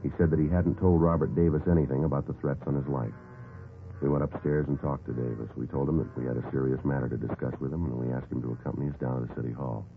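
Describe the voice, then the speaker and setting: An actor playing a terse, matter-of-fact police detective narrating in deadpan 1950s radio style. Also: male voice, very deep voice, fast talker, gravelly. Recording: narrow vintage radio sound, faint music.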